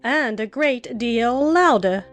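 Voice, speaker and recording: A woman's voice: on the high side, animated, slow, soft background music. A woman voicing a haughty, bossy talking flower with an imperious, emphatic drawl in a children's audiobook. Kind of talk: dramatized children's audiobook acting.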